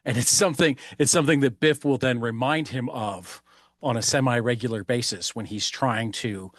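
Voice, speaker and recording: Male podcast host, mid-pitched, rambling and jokey, dry close sound. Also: moderate pace, no room sound. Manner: rambling and jokey, laughs often. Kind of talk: casual podcast conversation.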